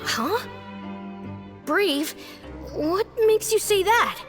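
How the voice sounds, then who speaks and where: Sulky little boy, very high pitch, swooping intonation, stubborn whining, video game acting. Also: sing-song, soft background music, full character voice. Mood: pleading, uncertain. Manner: sulky, drawn-out complaining. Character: whiny, stubborn young boy reluctant to obey.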